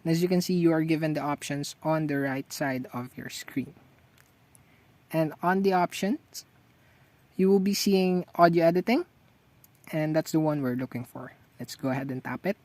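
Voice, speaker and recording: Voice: mid-range voice, normal speed, a little mellow. A tutorial host narrating step-by-step instructions for using a phone app.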